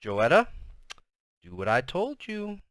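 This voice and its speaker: A man voicing a furious, strict mother giving slow, stern commands in a menacing hiss in a storybook reading. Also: medium pitch, huge pitch swings, fairly bright tone, dry recording, exaggerated voice. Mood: cold menace. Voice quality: hissing.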